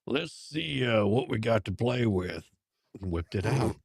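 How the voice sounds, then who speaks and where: Man playing a slow-drawling, gravelly, cocky flirt. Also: mid-range voice, expressive, no echo, studio quality, exaggerated voice. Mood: amused drawl. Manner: drawling, teasing, chuckling.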